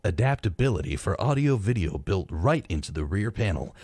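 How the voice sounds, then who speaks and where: A man with a very low-pitched voice chats casually as a radio co-host. He rambles and drops in wry reactions as he goes. The sound is close and dry, as in a studio. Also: sing-song, brisk, darkish tone, no echo. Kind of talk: casual, chatty live radio talk. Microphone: close studio microphone.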